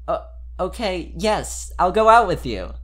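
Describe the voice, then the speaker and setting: A man's voice: high voice, big pitch swings, a little slow, fairly bright tone, pitched above the performer's own voice, exaggerated voice. A man voicing a flustered, lovestruck anime girl who stammers nervously in a fan fiction reading. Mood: shy, excited. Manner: stammering, hesitant.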